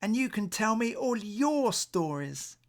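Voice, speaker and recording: A small young storybook owl, acted out by a man reading aloud, pitched well up from a grown voice. He is gentle and hopeful, speaking with sweet earnestness. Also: big pitch swings, a little slow, heavily acted. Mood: happy. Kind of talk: children's picture book read aloud.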